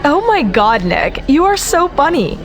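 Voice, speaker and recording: A woman's voice: sits high, sing-song, a little slow. A woman playing a mocking, haughty young rival in an audio drama. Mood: gleeful, taunting. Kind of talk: dramatised audio series acting. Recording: crowd chatter behind.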